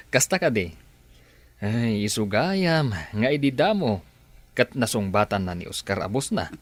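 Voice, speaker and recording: A man's voice: lowish voice, sing-song, measured pace, no echo. A man acting a persuasive, scheming boss in an Ilocano radio drama, with an Ilocano Filipino accent. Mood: coaxing, probing.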